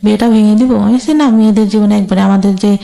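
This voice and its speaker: A woman's voice: medium pitch, fairly even intonation, fast, creaky, warm tone. A woman with a Bangladeshi accent telling her life story as a guest in conversation, colloquially recounting who said what. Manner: recounts quoted exchanges in colloquial speech.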